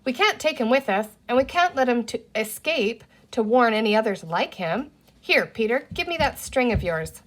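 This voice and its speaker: A woman's voice: on the high side, lively intonation, normal speed, fairly bright tone. A woman voicing a bossy, decisive girl in a children's storybook, with a firm, commanding manner. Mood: urgent.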